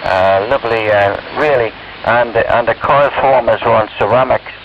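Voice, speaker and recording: A man's voice: mid-range voice, normal speed, muffled recording. A man, an amateur radio operator, chatting casually over shortwave and rambling as he repeats himself. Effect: shortwave radio transmission. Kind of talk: casual ham radio chat. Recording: hissy, band-limited shortwave radio signal. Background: radio hiss and static.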